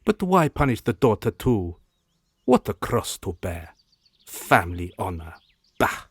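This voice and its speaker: A man's voice: lowish voice, sing-song, a little slow, husky, no echo, studio quality, exaggerated voice. A man playing a curious, gossipy servant in a radio drama, theatrical and inquisitive.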